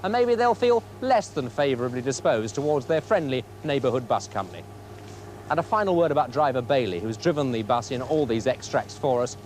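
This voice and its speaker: British man, training film presenter, animated pitch, articulate, questioning, old soundtrack with faint noise. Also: a little fast. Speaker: presenter and interviewer. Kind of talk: scripted training film narration and interviewing.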